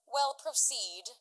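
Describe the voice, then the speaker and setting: Clownish servant, acted by a woman, high lively pitch, theatrical, wry, bantering, radio play. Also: heavily acted. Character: clownish servant Launce, wry, lovestruck, quibbling. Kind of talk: Shakespearean radio comedy acting.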